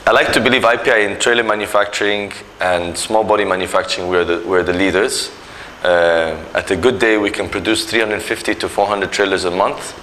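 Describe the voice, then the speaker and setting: A man's voice: fairly low pitch, steady tone, brisk, fairly bright tone, slight background noise. A man from Nigeria, a company executive speaking earnestly in an interview, with many fillers and restarts. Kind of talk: corporate interview talk.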